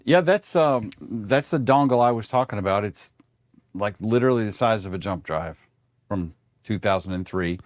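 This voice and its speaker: A man's voice: fairly low pitch, lively intonation, normal speed, no echo, studio quality. A man guesting on a livestream, explaining tech gear in a matter-of-fact, informal way. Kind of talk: casual explanatory tech chat.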